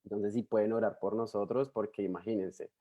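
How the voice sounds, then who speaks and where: A man's voice: lowish voice, steady tone, fairly quick, dark tone. A young man with a Colombian accent, a missionary introducing himself to a church over a video call in a friendly, chatty way with many fillers and restarts. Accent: Colombian Spanish. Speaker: missionary guest speaker. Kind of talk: warm personal introduction to a church.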